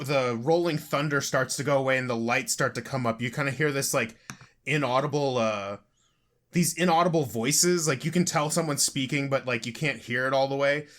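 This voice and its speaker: A man's voice: mid-range voice, normal speed, a bit bright. A man playing a tabletop game, eagerly describing his character's entrance. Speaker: player. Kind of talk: casual tabletop play.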